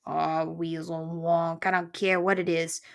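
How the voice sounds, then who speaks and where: Woman voicing a gruff, gravelly old male porcupine. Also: low-pitched, little pitch movement, a little slow. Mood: grouchy, grumbling. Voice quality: growling, gravelly. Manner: barking colorful insults, folksy slangy speech.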